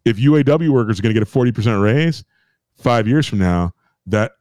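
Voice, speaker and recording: Brief: American man, mid-pitched, a rambling ranter on a podcast, dry close mic. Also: lively intonation, fairly quick, darkish tone, no room sound. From United States. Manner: emphatic, repetitive, rambling with restarts and swearing. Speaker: podcast co-host. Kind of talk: ranting casual podcast chat.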